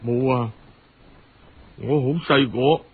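Male narrator as sad orphan girl, grown young woman, Cantonese, humble, weak, husky, hesitant, storybook drama, lo-fi audio. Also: medium pitch, fairly even intonation, takes it slowly, no room sound, voice pushed down. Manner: weak, apologetic, sighing, hesitant.

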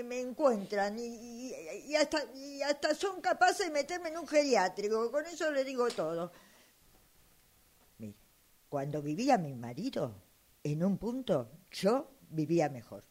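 A woman's voice: fairly high pitch, expressive, a little slow. Woman acting an exasperated elderly widow, swooping voice. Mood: agitated, exasperated. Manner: insistent, dramatic, flustered complaining. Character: exasperated elderly widow fed up with her phone. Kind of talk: amateur radio drama acting.

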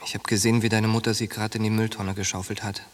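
A man's voice: fairly low pitch, flat delivery, racing, natural voice. An actor playing a brash, coarse young soldier who goads his friend, in a radio drama. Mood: grim, confiding. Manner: coarse, goading, slangy.

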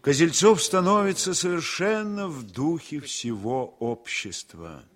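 An actor as the solemn narrator of a war story, giving measured, expressive scripted narration in a radio drama. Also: male voice, on the high side. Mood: measured, wry.

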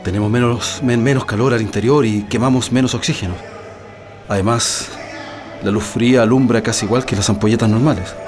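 Actor as male political prisoner, Chilean accent, mid-pitched, earnest, halting, radio drama, background music. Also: fairly even intonation, natural voice.

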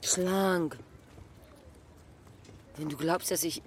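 A woman's voice: on the low side, fairly even intonation, extremely slow, slight background noise. A woman acting a scornful, capricious Parisian prostitute of 1920 in a German radio play. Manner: mocking, capricious.

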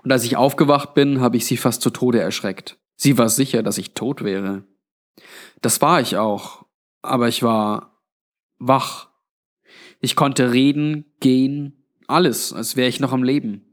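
A man's voice: medium pitch, steady tone, measured pace, lightly acted. A man voicing a weary, blunt old undead man with a grave, resigned manner in a narrated story. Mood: grave recollection.

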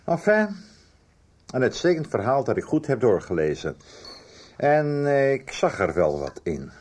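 An actor playing an older book publisher who haltingly and deliberately recounts a guilty past in a radio drama. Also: male voice, medium pitch, big pitch swings, darkish tone. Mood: reflective, confessional. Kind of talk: radio drama dialogue, reminiscing.

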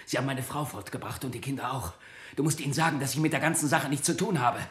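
A man's voice: mid-range voice, fast talker, fairly bright tone, lightly acted. An actor playing a frightened male prisoner in a radio drama, imploring with a tearful, breaking voice. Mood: desperate, pleading. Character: desperate, pleading male prisoner, frightened family man. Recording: echoey interrogation room sound.

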